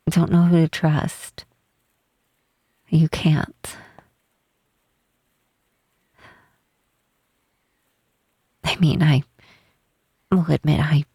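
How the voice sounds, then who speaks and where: A woman playing a shy, guilt-ridden half-troll cleric in an intimate ASMR roleplay, speaking softly and hesitantly with apologetic pauses and sighs. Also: deep voice, no echo, studio quality, exaggerated voice. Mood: wistful, unsure. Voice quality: soft, husky, breathy.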